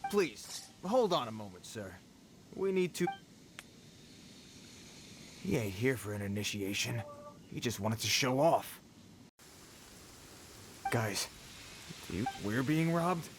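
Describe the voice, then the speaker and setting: An actor playing a cocky, slangy bandit thug in a video game, swaggering but easily cowed. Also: male voice, medium pitch, very animated, takes it slowly, pauses often, a little hiss or hum. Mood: nervous. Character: cocky male treasure-bandit thug, quick to cower. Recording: game music behind the voice.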